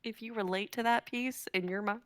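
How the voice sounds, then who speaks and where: A woman's voice: on the high side, fairly even intonation, brisk, no echo. A woman in her twenties rambling casually and comically on a livestream, self-mocking and a little dramatic. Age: 20s. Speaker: livestream performer, regular of the show.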